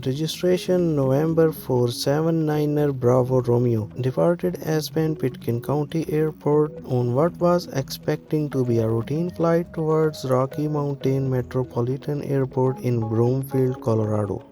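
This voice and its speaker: Male narrator for scripted aviation-style documentary, mid pitch, clear music bed underneath. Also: unhurried, few pauses, warm tone.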